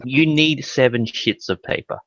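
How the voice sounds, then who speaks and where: A man's voice: medium pitch, moderate pace, a bit bright, dry recording. Male guest, joking and crude, dry muffled line. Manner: teasing, swears freely. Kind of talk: casual group chat.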